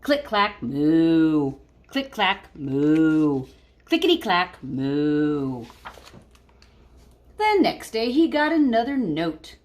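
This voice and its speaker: A woman's voice: low voice, very slow. A woman teacher reading a picture book aloud expressively and talking to children, explaining things simply. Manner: explains words simply, animated storytelling.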